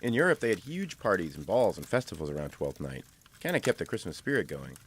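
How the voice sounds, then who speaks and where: A man's voice: low voice, sing-song, fast, slight background noise. A man playing a reassuring father who chats about old customs in an audio drama. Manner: reassuring, chatty.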